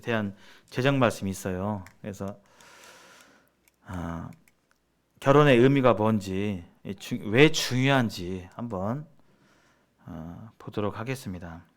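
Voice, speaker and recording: A man teaches church members in a conversational, sermon-like way, explaining points and putting questions to his listeners. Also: fairly low pitch. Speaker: church seminar speaker.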